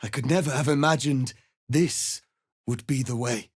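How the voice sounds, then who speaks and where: An actor playing a proud, stern, noble alien rebel leader in a fantasy video game, speaking formally and with deliberate, solemn authority. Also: male voice, mid-range voice, breathy edge, dry recording, studio quality, lightly acted. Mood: solemn resolve. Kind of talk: dramatic fantasy game acting.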